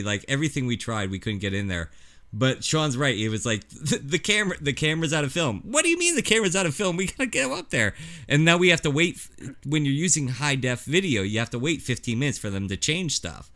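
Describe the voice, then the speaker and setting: A man in his forties with a bright, forceful voice, chatting casually on a radio show. He tells stories with animated energy and laughs often. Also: mid-range voice. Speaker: co-host of a paranormal show.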